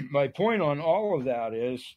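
A man hosts the conversation with long, wandering questions and asides. His slightly high voice is fading as he says he is losing it. He keeps apologizing and chiming in with "correct" and "right". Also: sing-song, slow pace, creaky, breathy edge. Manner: rambling, apologetic, frequent "correct" and "right" interjections. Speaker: interview host. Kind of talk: conversational interview.

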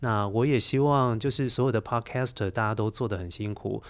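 Low-voiced Taiwanese man, reflective storyteller. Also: fairly quick. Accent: Taiwanese Mandarin. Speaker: podcast guest, children's storyteller. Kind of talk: conversational interview, reflective explaining.

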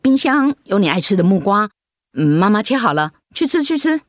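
Flustered mother with swooping pitch, played by a woman. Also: sing-song, fairly quick, dry recording, studio quality, natural voice. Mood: evasive reassurance. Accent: Taiwanese Mandarin. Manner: hesitant, fretful. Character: protective, flustered middle-aged mother hiding bad news. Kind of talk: radio drama acting.

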